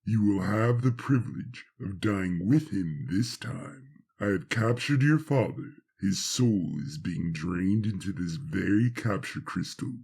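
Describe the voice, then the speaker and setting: A man voicing a sinister, gloating sorcerer with a low, husky voice and a slow, taunting, grandiose delivery in a fantasy audio story. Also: expressive, darkish tone, exaggerated voice. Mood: cold gloating.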